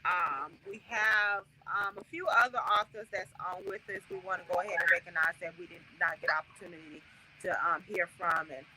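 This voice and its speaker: A woman's voice: on the low side, steady tone, moderate pace, a little hiss or hum, low-quality recording. Chatty woman hosting on her phone. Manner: warm, folksy, many fillers and restarts. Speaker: host. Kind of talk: casual livestream chat.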